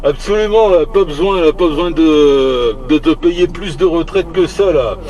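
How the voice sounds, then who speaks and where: A man chatting casually on CB radio in a halting, repetitive, teasing way. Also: a little high, darkish tone, rough recording. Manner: hesitant, halting, repeating words; jocular, teasing. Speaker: amateur CB radio operator.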